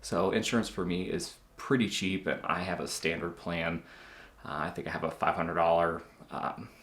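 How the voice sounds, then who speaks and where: A man's voice: sits low, moderate pace, echoey room. A man in his thirties hosting his own channel, talking casually with plenty of fillers and restarts. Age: 30s. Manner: relaxed, chatty, lots of fillers. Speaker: car channel host. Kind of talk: casual talk to camera.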